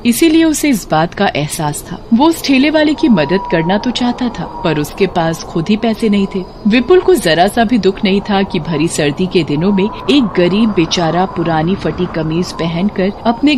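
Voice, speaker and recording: A narrator reading a scripted moral story in a measured storytelling style. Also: medium pitch, noisy background.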